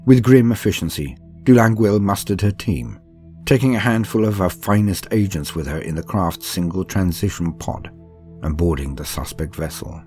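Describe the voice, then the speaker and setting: Male narrator, low and mellow, calm sleep-aid style book reading, steady and soothing. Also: faint music behind. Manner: measured, soothing, unhurried storytelling.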